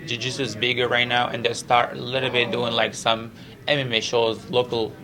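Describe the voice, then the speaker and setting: Gravelly Moroccan-accented male fighter. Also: medium pitch, little pitch movement, brisk, sharp tone, low-quality recording. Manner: earnest, repetitive, non-native English with frequent 'you know'. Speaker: professional MMA fighter. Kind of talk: press interview, casual chat.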